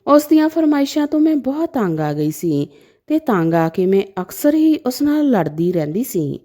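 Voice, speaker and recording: A woman storyteller delivers a dramatic first-person narration. Her voice sits on the higher side and is soft and mellow in tone. The pitch rises and falls in a lively way as she tells the scripted story. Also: moderate pace.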